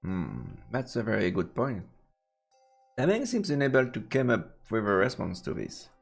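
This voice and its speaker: A man's voice: fairly low pitch, wildly swooping pitch, normal speed, gravelly, husky, soft background music. A man doing let's play commentary on a visual novel, reading the narration aloud. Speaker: game streamer.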